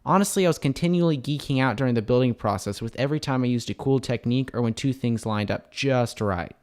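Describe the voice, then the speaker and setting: A man's voice: mid-range voice, quick pace, a little mellow, clear, resonant tone. A man hosting a hobby building channel, narrating a scripted showcase in an enthusiastic way. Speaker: host of a LEGO building channel.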